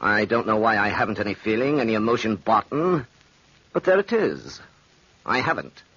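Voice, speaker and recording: A man's voice: on the low side, big pitch swings, moderate pace, a bit bright, breathy edge, heavily acted. An actor playing a cold, mocking, doomed man in theatrical 1940s radio style, on a dull old recording. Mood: coldly detached. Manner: theatrical, sardonic.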